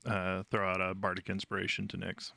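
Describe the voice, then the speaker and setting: Low, bright-voiced man playing a game. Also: completely flat delivery, a little fast, gravelly, no echo. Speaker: tabletop game player. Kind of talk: casual game play talk.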